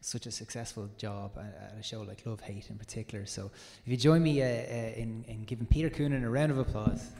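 A man's voice: on the low side, fairly even intonation, fairly quick, vocal fry, few pauses, noisy background. A man hosting a live podcast, running the Q&A with wry, friendly patter. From Ireland. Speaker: podcast host. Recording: long hall reverb.

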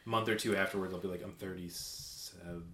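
Podcast host: man, late 30s, lowish bright voice, chatty and self-deprecating. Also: steady tone, brisk. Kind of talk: casual chat and show intro.

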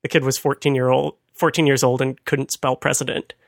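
A man's voice: medium pitch, level tone, brisk, vocal fry, dry recording. A man co-hosting a conversational talk, explaining things with dry amusement. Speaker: podcast host. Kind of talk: conversational retro computing commentary.

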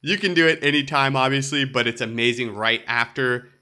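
A man's voice: medium pitch, steady tone, fairly quick, bright, forceful tone. A man hosting a solo podcast, sounding nasal and congested, upbeat and chatty with light laughs. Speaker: podcast host.

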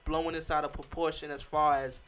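A man's voice: a little high, flat delivery, a little fast, a bit bright, slight background noise. A man co-hosting a casual podcast, giving emphatic opinions with plenty of fillers. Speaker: podcast co-host. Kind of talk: casual chat.

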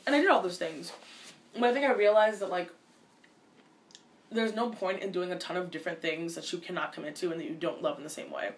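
A woman's voice: fairly low pitch, slight background noise. A woman in her twenties from Minnesota, a college student answering interview questions casually, often restarting her sentences. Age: 20s. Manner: fast, with many restarts and filler likes.

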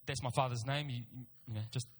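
A man's voice: mid-range voice, steady tone, fast. A middle-aged man with a New Zealand accent preaching a sermon, telling personal stories with emphatic repeated phrases and informal asides. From Auckland, New Zealand. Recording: reverberant hall.

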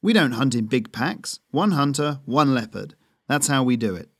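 A man playing a gruff, stubborn male hunter who talks in a grumbling way. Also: medium pitch, expressive, normal speed, no room sound, studio quality.

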